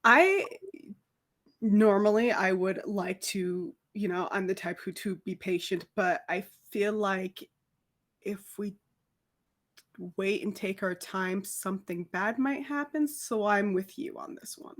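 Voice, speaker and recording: A woman's voice: mid-range voice, slow. A woman with a mid-range voice takes part in a tabletop roleplay. Her talk is casual and informal, often thinking aloud. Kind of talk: casual game chat, partly in character.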